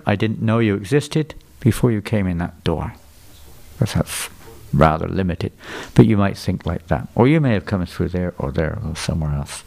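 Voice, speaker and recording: A man's voice: fairly low pitch, gravelly, a little mellow. Older man giving a chatty sermon. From England. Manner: conversational, questions the audience and repeats their answers. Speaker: visiting speaker at a temple. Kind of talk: sermon lecture. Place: temple hall before a live audience.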